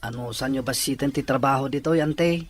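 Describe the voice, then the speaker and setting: A man's voice: mid-range voice, fairly quick, lightly acted. A man playing a respectful, earnest young rural man who longs to study, pleading in an Ilocano audio drama.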